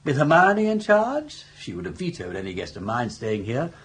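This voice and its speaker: Husky, suave old English gent. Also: male voice, medium pitch, wildly swooping pitch, normal speed, fairly bright tone, exaggerated voice. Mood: earnest, persuasive. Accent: upper-class English. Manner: flowery, persuasive, theatrical. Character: suave, roguish, persuasive upper-class older English gentleman. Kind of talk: British radio comedy drama acting.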